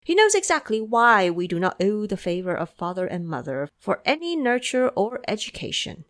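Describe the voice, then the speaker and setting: A woman voices a humble male monk in an audio drama. The phrasing is courteous and composed, with lively melodic movement. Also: medium pitch, normal speed. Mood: deferential, composed.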